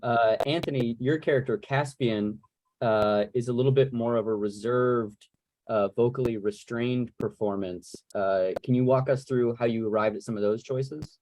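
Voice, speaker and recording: A man's voice: mid-range voice, fairly even intonation, moderate pace, darkish tone, a little hiss or hum, low-quality recording. A man hosting a casual moderated interview over a video call, asking chatty, rambling questions. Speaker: host, moderator.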